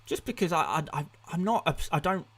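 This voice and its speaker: A man's voice: a little high, expressive, brisk, fairly bright tone. A man hosting a casual live stream, chatty and upbeat, laughing at himself as he reads out viewers' comments. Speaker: fantasy football streamer.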